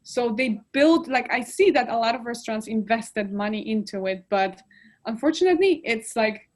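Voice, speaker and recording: Chatty woman, high voice. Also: normal speed. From Poland. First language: Polish. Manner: expressive, lists details, frequent "like" fillers. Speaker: guest. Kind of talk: casual chat.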